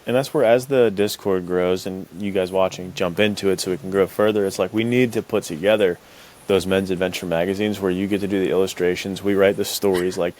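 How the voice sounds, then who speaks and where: Low-voiced guy, slangy podcast chat. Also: steady tone, fairly quick, a little mellow, a little hiss or hum. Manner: slangy, enthusiastic. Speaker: podcast host. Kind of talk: casual enthusiastic chat.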